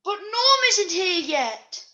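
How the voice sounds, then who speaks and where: A woman's voice: very high-pitched, very animated, slow, pushed and bright, highs cut off, exaggerated voice. A young woman acting an earnest, worried puppet committee member in an amateur puppet show.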